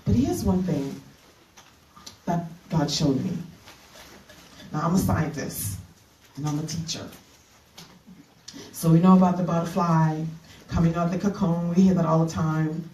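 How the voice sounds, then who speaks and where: Middle-aged woman minister from the Bahamas, keynote at a women's retreat; mellow, slightly low voice, faint hiss behind. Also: steady tone. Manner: earnest, halting with fillers and restarts. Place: conference room. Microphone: handheld mic. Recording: echoey room from a distance.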